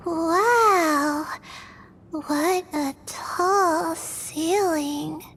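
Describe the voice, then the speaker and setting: A woman voicing a shy, dreamy young student of the stars in a game, soft-spoken and hesitant. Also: very high-pitched, extremely slow, slight background noise, full character voice.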